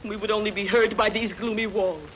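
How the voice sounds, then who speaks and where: A woman's voice: medium pitch, fairly even intonation, a little fast, close to an everyday voice. Woman acting a grave, despairing captive princess. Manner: melodramatic, grave. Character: despairing young captive princess, grieving and bitter. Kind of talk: dubbed sword-and-sandal film dialogue. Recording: faintly noisy old film soundtrack.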